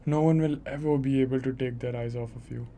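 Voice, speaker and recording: A man's voice: mid-range voice, steady tone, a little fast, warm tone, lightly acted. A young man playing a devoted, eager-to-please clerk husband in an amateur radio drama, tender and reassuring. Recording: noisy amateur recording.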